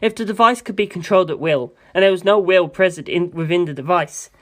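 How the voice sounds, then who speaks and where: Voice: mid-range voice, a little fast, a bit bright. A person reading a first-person novel aloud as scripted audiobook narration. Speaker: amateur audiobook reader.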